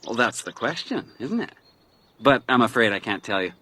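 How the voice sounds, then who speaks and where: A man's voice: mid-range voice, sing-song, moderate pace, sharp tone, husky, full character voice. A man acting a younger, talkative hiker in a radio drama, nervy, probing and insistent. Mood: teasing.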